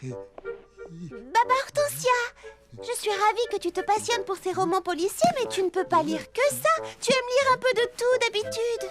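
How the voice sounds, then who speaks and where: Cheerful little cartoon girl, extremely high voice, hugely animated, caring, expressive, loud music underneath. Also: moderate pace. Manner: bubbly, expressive. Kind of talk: French-dubbed children's cartoon acting.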